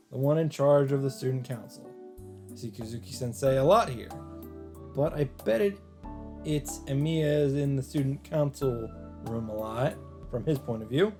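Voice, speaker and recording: A man doing a casual let's play, reading visual novel text aloud in a mid-pitched voice. He breaks off to add his own asides and reactions. Game music plays softly behind him. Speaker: let's player.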